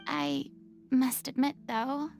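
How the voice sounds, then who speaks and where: Earnest, high-pitched theatrical young lady. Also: big pitch swings, slow, a little mellow, full character voice. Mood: composed, wistful. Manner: formal, eloquent diction. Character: earnest, theatrical young woman, once a stage star. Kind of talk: anime game voice acting. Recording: game music under the voice.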